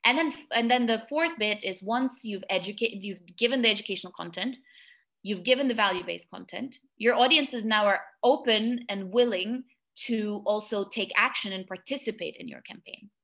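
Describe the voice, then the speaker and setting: A young woman giving a webinar talk over a video call, recorded on her webcam mic in a small indoor room. Her delivery is casual and conversational, full of fillers and restarts. Her pitch sits in a middle range for a woman. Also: normal speed, sharp tone. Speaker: webinar presenter.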